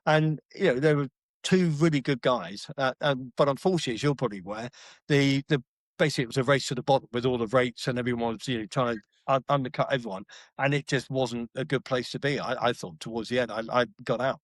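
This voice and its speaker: A man's voice: mid-range voice, fast talker, no echo. A middle-aged man telling blunt stories from his life as a podcast guest, with salty slang and frequent restarts. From Britain. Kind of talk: casual storytelling interview.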